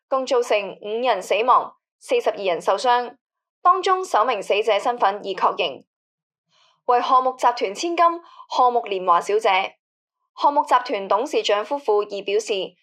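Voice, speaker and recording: A composed female newsreader acted by a woman with a fairly high voice. She delivers a scripted bulletin in a formal, measured way, as in a radio drama. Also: a bit bright. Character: composed female television news anchor reading a bulletin. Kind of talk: formal scripted news reading.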